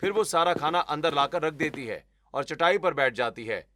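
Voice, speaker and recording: Male narrator, slightly high voice, scripted storytelling, light background noise. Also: animated, normal speed, pushed and bright.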